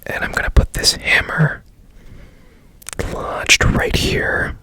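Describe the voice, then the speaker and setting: Man playing mysterious obsessive fixer, male, ASMR roleplay, hushed whisper, long deliberate pauses, drawn-out pace. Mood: intense, secretive. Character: strange secretive man obsessively repairing a machine.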